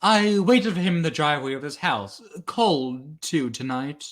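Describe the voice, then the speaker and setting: Slow, politely menacing hired killer played by a man. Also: a little high, expressive, slight background noise. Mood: cool, matter-of-fact. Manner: formal, measured, understated politeness. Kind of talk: old-time radio drama acting.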